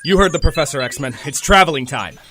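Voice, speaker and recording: A man's voice: a little high, expressive, a little fast, over music, close to an everyday voice. Man playing an earnest superhero leader in long reverb. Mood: stern, commanding. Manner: melodramatic, commanding. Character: earnest, driven young male superhero team leader, troubled. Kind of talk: fan audio drama acting with first-person narration. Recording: long reverb, faint background noise.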